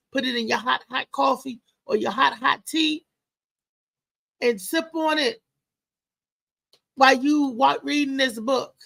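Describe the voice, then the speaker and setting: A woman hosting a casual live show as a comedian, talking in a loud, lively, chatty way with lots of exclamations. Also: high-pitched, vocal fry. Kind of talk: casual live-stream chat and promotion.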